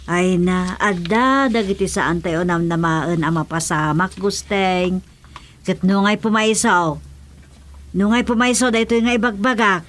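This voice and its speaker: A woman's voice: mid-range voice, a little slow, a little hiss or hum, close to an everyday voice. An actress playing a frank, insistent village woman with an Ilocano accent in a comedy radio drama.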